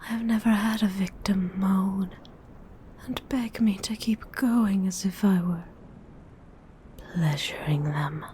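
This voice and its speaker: A woman's voice: medium pitch, mellow, slight background noise, lightly acted. A woman whispering as a haughty female vampire. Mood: indulgent. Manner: whispered, slow and lingering; haughty, formal phrasing. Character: predatory female vampire, aloof and possessive. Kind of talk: ASMR role-play audio.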